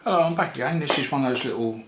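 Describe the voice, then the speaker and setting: An older man giving a casual hands-on repair tutorial, thinking aloud in an unhurried way with pauses. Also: mid-range voice. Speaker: electronics repair presenter. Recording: roomy echo with faint background noise.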